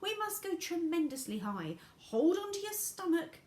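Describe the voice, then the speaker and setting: A woman voicing a brisk, supremely confident, eccentric gentleman inventor from a storybook, with an emphatic, declamatory manner. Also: very high-pitched, very animated, reverberant, pitched above the performer's own voice. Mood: excited, commanding.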